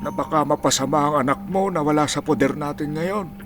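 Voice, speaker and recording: A man playing a gentle, worried elderly grandfather in a radio drama, speaking in a soft, pleading, sorrowful way. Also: a little high, brisk, lightly acted. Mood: hesitant, pleading. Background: clearly audible music.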